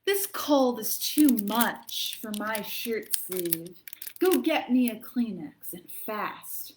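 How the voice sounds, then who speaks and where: A woman's voice: a little high, lively intonation, takes it slowly, reverberant, slight background noise. A woman voicing a dramatic, frantic cold sufferer in a theatrical, pleading reading of a children's poem. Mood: miserable, urgent. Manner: theatrical, pleading, with loud fake sneezes.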